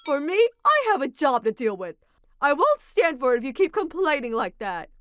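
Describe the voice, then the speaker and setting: Harsh widowed mother, loud and cutting, voiced by a woman. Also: sits high, sing-song, normal speed, no echo, studio quality, pitched above the performer's own voice. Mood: scolding. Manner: loud, sharp scolding. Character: cold, harsh widowed mother, scolding and domineering. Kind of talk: manga dub voice acting.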